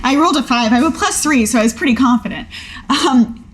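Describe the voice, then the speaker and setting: A woman's voice: high voice, animated, fast, a little hiss or hum. A woman playing a tabletop role-playing game, bantering casually in an earnest, playful way.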